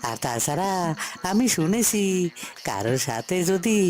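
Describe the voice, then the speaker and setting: Woman putting on a bashful, lively young village man. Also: fairly low pitch, a little slow. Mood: smitten. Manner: hesitant, polite. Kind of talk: Bengali moral-story cartoon dubbing. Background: music.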